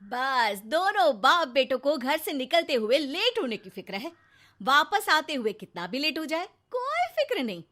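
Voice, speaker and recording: A woman's voice: sits high, big pitch swings, measured pace, fairly bright tone, voice pushed up, heavily acted. A woman voicing a talkative, nagging middle-aged mother in a cartoon story, exaggerated and complaining. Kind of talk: cartoon voice acting.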